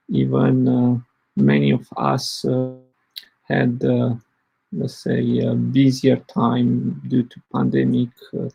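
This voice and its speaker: Brief: man in his forties from Romania, husky and halting, presenting at a tech conference. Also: mid-range voice, mellow, rough recording. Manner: hesitant, many fillers. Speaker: open source project lead presenting. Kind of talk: conference talk.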